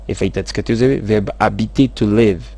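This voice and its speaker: A man teaching English, saying model sentences slowly and switching quickly into rapid explanations. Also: fairly low pitch, vocal fry, darkish tone, no room sound. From Madagascar. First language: Malagasy. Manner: slow, careful model sentences between fast explanations. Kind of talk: language lesson, explaining in Malagasy and French.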